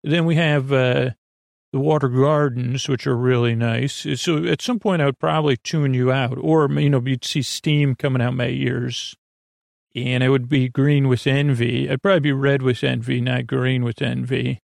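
A man with a creaky voice hosting a sleep podcast, meandering gently, mumbling and stumbling over his words as he trails off. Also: mid-range voice, fairly even intonation, moderate pace, dry recording, studio quality. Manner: gentle, lulling. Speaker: podcast host. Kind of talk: rambling bedtime sleep podcast monologue.

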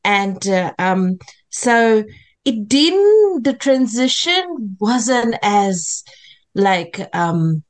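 A middle-aged woman, a soft-spoken podcast guest who talks in a hesitant, reflective way. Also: medium pitch, very animated. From grew up in New Zealand, of Fijian Indian background. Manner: hesitant, reflective, with many pauses.

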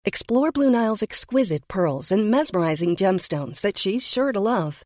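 A woman's voice: mid-range voice, animated, normal speed, a little mellow, no room sound, studio quality. A woman reading a scripted advertisement as a voice-over. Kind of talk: scripted ad read.

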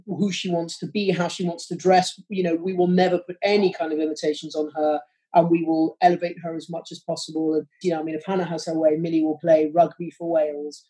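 Mellow-voiced man, passionate. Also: on the high side, flat delivery, rough recording. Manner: passionate, quick-flowing argument. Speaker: interview guest, parent. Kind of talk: interview answers.

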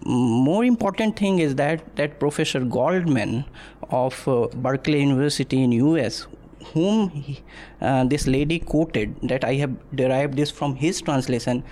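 A man's voice: mid-range voice, unhurried, darkish tone. A man arguing a point on a podcast, hesitant and full of fillers. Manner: hesitant, many fillers and restarts, indignant. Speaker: panel guest. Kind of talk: casual panel discussion.

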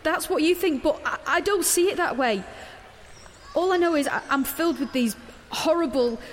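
A woman's voice: high-pitched, normal speed, lightly acted. An actress playing a guarded, conflicted teenage girl in an audio drama, earnest and defensive. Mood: defensive, anguished. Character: guarded, conflicted teenage girl protagonist narrating her story. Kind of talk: audio drama dialogue and first-person narration. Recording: background noise, outdoor ambience.

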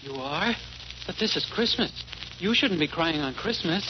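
A man's voice: high voice, animated, normal speed. An actor playing a naive, eager, kind-hearted young American man in a 1940s radio comedy style. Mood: gentle, kindly. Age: young adult. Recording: muffled, noisy old radio broadcast.

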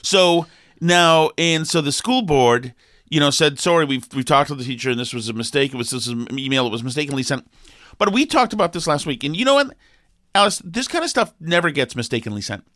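A man's voice: on the high side, lively intonation, a little fast, a bit bright, no echo, clean studio sound. A man co-hosting an opinionated talk show, sniffly, rambling with lots of fillers and restarts before turning emphatic.

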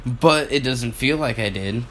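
A man's voice: mid-range voice, measured pace, fairly bright tone. A young man co-hosting a podcast, telling stories in a casual, rambling, sweary way. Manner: profane, filler-heavy storytelling. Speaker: podcast co-host. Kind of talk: casual chat.

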